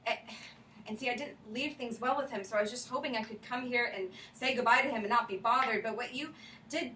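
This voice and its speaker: A woman acts out a wistful young widow with a bright, slightly high voice. She stumbles earnestly over her words in a staged play reading. The sound is roomy and distant. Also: slight background noise. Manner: halting, repeats words, earnest. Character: nervous, wistful grieving young widow named Glory.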